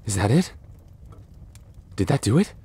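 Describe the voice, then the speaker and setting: A man playing a cursed, lovelorn young prince in a roleplay audio drama, halting and formal in his speech. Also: fairly low pitch, very animated, warm tone. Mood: astonished. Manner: halting, breathy sighs and gasps, formal archaic phrasing.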